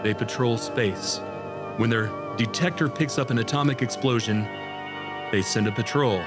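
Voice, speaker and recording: An actor in a radio drama playing a desperate test pilot, urgent, pleading and halting as he warns of doom. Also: mid-range voice, loud music behind the voice, natural voice. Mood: dazed, solemn.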